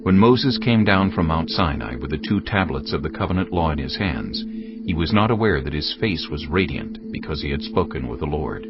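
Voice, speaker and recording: A very deep male voice narrates scripture dramatically, with lively swings in pitch. The audio is dull and lo-fi. Loud cinematic music plays underneath.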